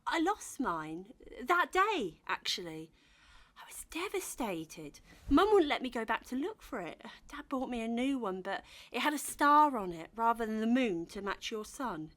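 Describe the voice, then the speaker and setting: A woman's voice: on the high side, sing-song, normal speed, fairly bright tone. A British actress playing a flustered, stammering, sceptical bride in her thirties in a comedy audio drama. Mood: teasing, affectionate.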